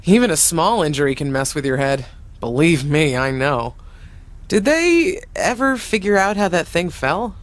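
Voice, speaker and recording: A woman's voice: deep voice, big pitch swings, normal speed. An actress playing a middle-aged female wrestling veteran and mentor, proud, teasing and emphatic, in a video game cutscene. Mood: concerned.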